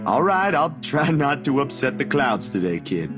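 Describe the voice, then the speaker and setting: A mid-pitched, gentle everyman, acted by a man in a video game. He talks in a hesitant, conversational way, kindly but weary. Music is clearly heard behind him. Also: big pitch swings, moderate pace, lightly acted. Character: weary, gentle ordinary man, a troubled photographer. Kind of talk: video game character dialogue.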